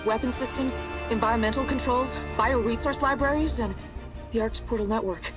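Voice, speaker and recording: An actress playing a brisk, observant scientist explaining things to soldiers in a video game. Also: female voice, a little high, over music, close to an everyday voice. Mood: absorbed, brisk. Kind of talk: video game cutscene acting.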